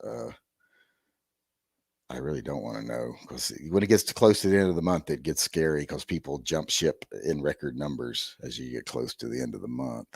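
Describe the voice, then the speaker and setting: A middle-aged man with a hoarse, gravelly voice hosting a rambling live-stream chat with wry, self-deprecating asides. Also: extremely low pitch, sing-song, normal speed, a little mellow, no room sound, studio quality. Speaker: host.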